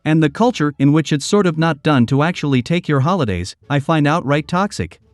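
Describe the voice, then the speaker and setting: A man's voice: a little high, lively intonation, a little fast, no echo, studio quality. Man narrating over faint music. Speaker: narrator reading online posts. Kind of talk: read-aloud story narration.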